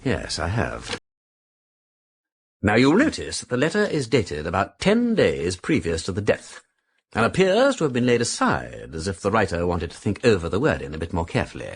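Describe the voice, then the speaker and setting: An actor playing a suave, breezy aristocratic gentleman sleuth in a radio drama, with an upper-class English accent and a jaunty, mock-formal, theatrical delivery. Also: low voice, huge pitch swings, moderate pace, slightly rough, heavily acted. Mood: breezy, dramatic. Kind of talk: BBC radio drama acting.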